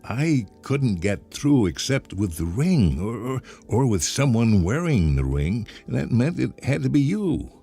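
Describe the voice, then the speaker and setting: Man playing a high-pitched, stammering, nervous little man. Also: sing-song, unhurried, gravelly, few pauses, dark tone, husky, full character voice. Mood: apologetic. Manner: hesitant, stammering. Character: nervous, pleading little man with a mysterious secret. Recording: music behind the voice.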